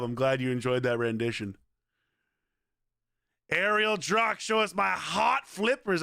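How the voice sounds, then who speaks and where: Hoarse, punchy male streamer. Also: on the high side, no echo, clean studio sound. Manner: energetic, rambling, pleading with viewers. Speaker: game streamer. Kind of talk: casual livestream chat.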